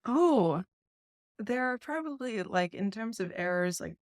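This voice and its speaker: A woman from New York talks as an interview guest in a gentle, diplomatic way, laughing easily between thoughts. Her voice sits at a middling pitch and is recorded dry and close, with no room echo. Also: expressive, unhurried. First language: English. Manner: soft, diplomatic, laughs often. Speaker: festival founder, guest. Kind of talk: casual interview chat.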